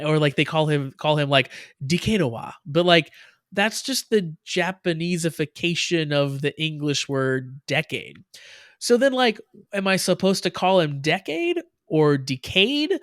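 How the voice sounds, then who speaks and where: A man's voice: on the high side, fairly bright tone, no echo, clean studio sound. A man with an American accent co-hosting a casual show review, rambling with lots of fillers and frequent laughs. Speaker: podcast co-host. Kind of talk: casual chat.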